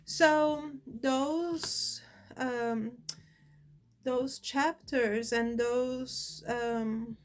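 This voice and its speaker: A woman in her forties from Brazil with a Brazilian Portuguese accent, talking to camera about her life in her second language, pausing often to find words. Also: high voice, steady tone. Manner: hesitant, searching for words, many fillers. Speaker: channel host. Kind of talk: personal talk to camera.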